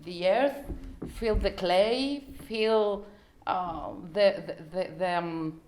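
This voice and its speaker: A woman presenting an idea informally, halting and repeating words as she searches for the next one. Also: mid-range voice, darkish tone.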